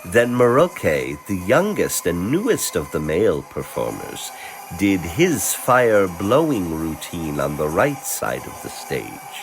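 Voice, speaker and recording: A man reading a scripted story as narrator, theatrical and deliberate, with dramatic pauses between phrases. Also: deep voice, wildly swooping pitch, a little hiss or hum. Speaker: narrator, voice performer.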